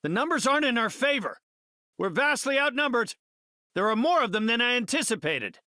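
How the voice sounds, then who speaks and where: An actor playing an earnest middle-aged scientist superhero in a video game, speaking in an articulate, cerebral, heroic way. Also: male voice, very high-pitched, very animated, brisk, sharp tone, no echo, studio quality, full character voice. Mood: urgent. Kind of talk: video game hero voice lines.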